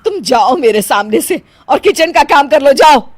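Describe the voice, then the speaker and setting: A woman's voice: high voice, animated, moderate pace. A woman voicing a bossy middle-aged mother-in-law in a cartoon family story, speaking in a scolding, emphatic way. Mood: annoyed.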